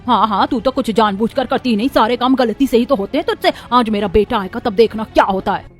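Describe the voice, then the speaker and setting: A woman acts out a cruel older mother-in-law in a cartoon drama. She nags and scolds harshly in a rather high voice, with music behind her. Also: a little fast, close to an everyday voice. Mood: sarcastic, threatening. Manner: harsh, nagging, sarcastic. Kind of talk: cartoon story voice acting. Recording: background music under voice.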